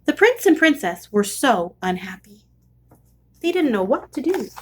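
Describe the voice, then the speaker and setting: A woman reading a children's storybook aloud in an expressive storytelling style. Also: sits high, a little slow. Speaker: storybook reader.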